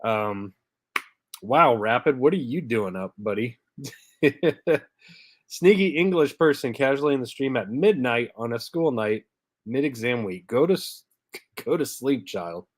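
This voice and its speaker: A man's voice: medium pitch, expressive, unhurried, studio quality. Stuffy guy hosting a chill live stream, talks to chat by name, medium voice, no room echo. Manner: laid-back, chatty, greets viewers by name with little laughs. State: stuffy from a head cold. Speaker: host of a live diecast car stream. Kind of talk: casual live-stream chat, reading out viewer comments.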